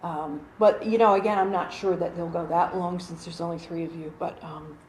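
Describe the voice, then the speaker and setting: Woman in her 40s chatting to a few people in her living room, lowish voice, lots of ums, roomy sound with a faint hum. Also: steady tone, moderate pace. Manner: chatty, many fillers and restarts, soft laughs. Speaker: channeler. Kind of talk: casual explanation to a small group.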